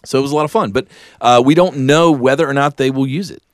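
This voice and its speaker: A man's voice: mid-range voice, fast talker, no echo, studio quality. A man chatting casually as a podcast co-host, telling stories in an easygoing way. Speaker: filmmaker.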